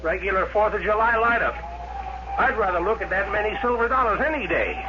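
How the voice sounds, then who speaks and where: A high-voiced, bossy small-time father from a 1950s radio drama, acted by a man. He talks in folksy rural grammar, needling and grasping. The old recording is muffled and clearly noisy. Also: brisk, lightly acted. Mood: grumbling, needling. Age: middle-aged. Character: greedy, bossy, folksy father running a desert gas stop.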